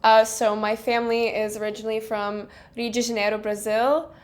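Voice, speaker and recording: A woman's voice: on the high side, flat delivery, moderate pace. Chatty young woman, laughing easily. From United States. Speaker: guest film director. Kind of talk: casual interview chat.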